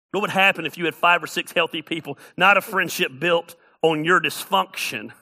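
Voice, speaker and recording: A man's voice: fairly high pitch, normal speed, sharp tone. A middle-aged man preaching a sermon as pastor, emphatic and repeating key phrases for effect.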